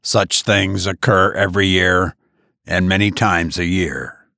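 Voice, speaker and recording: A man reading an audiobook, voicing a worldly lawyer who speaks in a measured, cynical way. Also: sits low, steady tone, gravelly, sharp tone, no echo, clean studio sound, pitched below the performer's own voice, full character voice. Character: calm, worldly middle-aged male lawyer.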